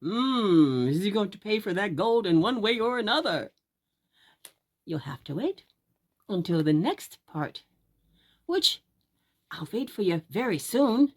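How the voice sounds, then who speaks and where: A woman storyteller reading for children at a slow pace. Her pitch swoops widely, with deliberate, drawn-out emphasis on key words. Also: very animated. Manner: deliberate, drawn-out emphasis, addressing young listeners. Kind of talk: expressive read-aloud fairy tale narration.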